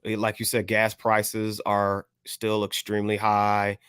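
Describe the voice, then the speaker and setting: An American man co-hosting a podcast, discussing things casually in a measured, careful, hedging way. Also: fairly low pitch, completely flat delivery, fairly bright tone, clear, resonant tone, no echo, clean studio sound. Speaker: podcast co-host.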